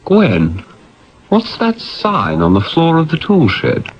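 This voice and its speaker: A man's voice: fairly high pitch, huge pitch swings, slow pace, breathy edge, full character voice. An actor playing a curious, uneasy man in a radio drama. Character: curious, uneasy man questioning a strange sign. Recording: dull lo-fi vintage radio recording.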